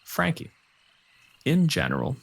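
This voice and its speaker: A man's voice: a little high, wildly swooping pitch, a little slow, gravelly, slightly rough. A man improvising narration as the game master of a tabletop fantasy game.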